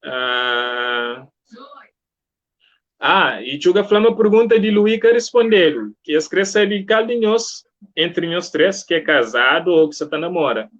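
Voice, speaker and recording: A man's voice: a little high, expressive, measured pace, a bit bright. A man hosting a casual live-streamed interview, chatting with guests and viewers. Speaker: interview host. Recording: muffled, lo-fi online stream audio.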